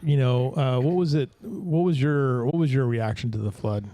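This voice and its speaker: A man hosting a local interview show, talking in a rambling, halting way with many restarts. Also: mid-range voice, mellow. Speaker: host. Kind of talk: casual interview.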